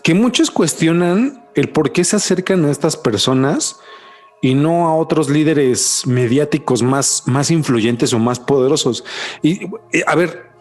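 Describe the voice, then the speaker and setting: A young man with a Mexican accent chats loosely and jokes, peppering his talk with slang. His voice is a little high. Soft music plays underneath. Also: measured pace. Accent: Mexican Spanish. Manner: slangy, joking, says "güey" often. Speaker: podcast co-host. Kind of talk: casual chat.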